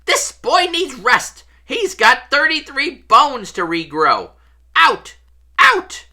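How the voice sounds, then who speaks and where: A reader voicing a stern, bossy woman school nurse who fusses and scolds briskly. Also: mid-range voice, sing-song, bright, forceful tone, voice pushed up, heavily acted. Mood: outraged, shouting.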